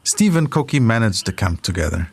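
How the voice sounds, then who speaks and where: A man's voice: on the low side, big pitch swings, fairly quick, slightly rough. A man hosting a podcast, reading scripted documentary narration as a storyteller. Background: music.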